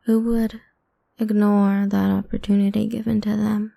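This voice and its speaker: A woman's voice: mid-range voice, flat delivery, normal speed, dry recording. A woman playing a cruel, playful female vampire in an ASMR roleplay, taunting her prey in a condescending, half-whispered way. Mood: commanding, seductive. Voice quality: dark, soft, breathy, creaky.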